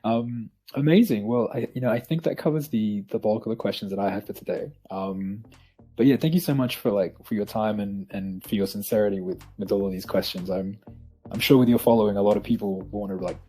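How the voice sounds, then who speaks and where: A man interviewing a guest in a friendly, casual way, pausing often with ums and restarts. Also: on the low side, mellow, husky, soft background music. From Peru, raised in Australia.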